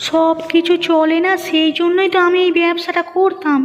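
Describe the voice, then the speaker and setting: A woman's voice: extremely high pitch, little pitch movement, normal speed, soft background music. A woman voicing a complaining cartoon village woman, plaintive and nagging. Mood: scolding. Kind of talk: Bengali moral-story cartoon dubbing.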